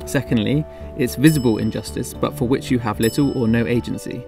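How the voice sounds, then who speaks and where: A man narrating his travel vlog in a measured, reflective scripted voice-over. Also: medium pitch, creaky, music in the background. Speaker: travel vlogger.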